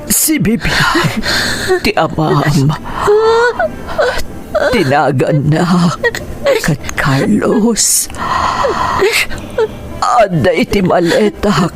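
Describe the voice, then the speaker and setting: A woman playing a dying mother in an Ilocano radio drama, crying and weak as she confesses a secret in halting, gasping phrases, with an Ilocano Filipino accent. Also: fairly low pitch, very animated, music in the background, heavily acted. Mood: weak confession.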